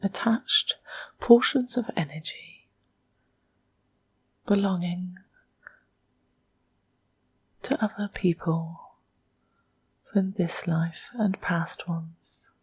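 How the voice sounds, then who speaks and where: A woman with a soft, breathy, whispery voice narrates a guided meditation in a hushed, measured manner, leaving long pauses between short phrases. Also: lowish voice, no echo.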